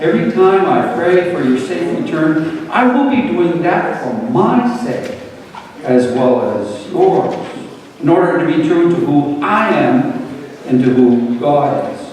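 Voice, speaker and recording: A man's voice: on the high side, animated, a little slow, darkish tone. Man acting earnest father in a very echoey hall. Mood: firm, loving. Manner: earnest, solemn, preachy. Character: devout, grieving, forgiving father pleading with his wayward son. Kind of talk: dramatic reading of a parable. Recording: very echoey hall from far off, faint noise.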